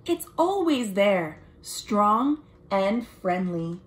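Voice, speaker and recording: A young American woman reads a picture book aloud to children, expressive and deliberate, with friendly asides like a storytime librarian. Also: mid-range voice. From United States. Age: young adult. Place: small room at home.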